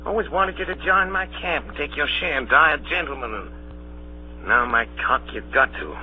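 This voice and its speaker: An actor playing a sly older pirate ringleader who wheedles and bullies in salty sailor talk, in old radio drama style. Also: male voice, fairly high pitch, normal speed, natural voice. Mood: sly, mock-friendly. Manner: wheedling then bullying, salty sailor idiom. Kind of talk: 1930s radio drama acting. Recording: dull old radio broadcast, music, hum.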